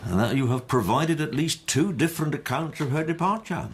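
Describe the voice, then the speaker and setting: A male actor playing a stern police superintendent in a radio drama, formal and deliberate in his questioning. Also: on the high side, expressive, slight background noise, natural voice.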